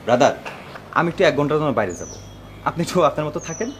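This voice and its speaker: An eager young husband in a TV drama, very polite and hospitable. He talks extremely fast in a lively, swooping voice with a Bangladeshi accent, over faint background noise. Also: fairly high pitch. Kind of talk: TV drama acting.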